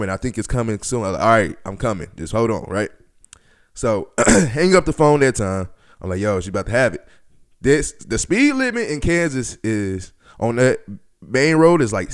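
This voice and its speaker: A man's voice: mid-range voice, clear, resonant tone. A man in his twenties hosting a podcast, telling stories casually in slangy, profane language and acting out bits of dialogue. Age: 20s. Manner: slangy, profane, animated retelling with quoted dialogue. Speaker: podcast host. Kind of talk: casual storytelling podcast talk.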